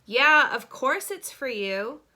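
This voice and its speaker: Woman playing a bright, confident schoolgirl. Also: high-pitched, lively intonation, slow, voice pushed up, heavily acted. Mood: warm. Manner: casual, direct. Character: confident, friendly, frank schoolgirl.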